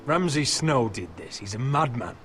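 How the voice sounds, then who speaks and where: A man playing a steady young lord and loyal friend in a fantasy video game, speaking gently and earnestly with restraint. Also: mid-range voice, moderate pace, a little hiss or hum. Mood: gentle, consoling.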